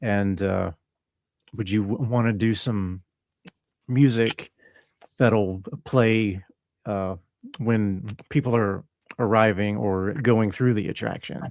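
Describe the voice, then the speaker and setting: A man, a composer guest, answering casually in a relaxed, modest way with short replies. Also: on the low side, slow pace, pauses often, no room sound. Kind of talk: casual interview chat.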